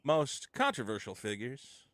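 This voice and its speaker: A man joining a casual livestream as a guest, telling stories in an animated, profane way and slipping into mock voices to act out others. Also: mid-range voice, a little slow, dry recording.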